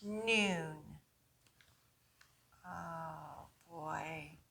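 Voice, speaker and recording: Bright-voiced lady doing kids storytime, really slow and clear storyteller pacing, asks little questions. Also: low-pitched. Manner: expressive, coaxing, asks the listeners questions. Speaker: children's librarian hosting storytime. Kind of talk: animated read-aloud for young children.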